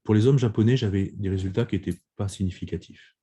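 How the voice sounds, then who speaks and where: A man giving a video-conference lecture on survey findings, speaking in measured phrases with frequent restarts and hesitations. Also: on the low side, dark tone, slightly rough. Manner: measured, many restarts and pauses with "euh". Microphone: video call.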